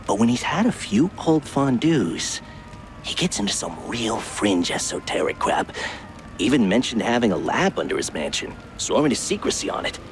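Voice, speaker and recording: A man playing a chummy, casual cartoon mouse stage designer in a noir video game, hesitant and excitable by turns. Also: medium pitch, normal speed, a little hiss or hum, lightly acted. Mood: confiding. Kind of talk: animated noir game acting.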